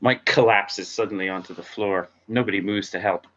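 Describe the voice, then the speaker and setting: A man's voice: fairly low pitch, fairly even intonation, moderate pace, a bit bright, muffled recording. A man narrating screenplay stage directions at an amateur table read.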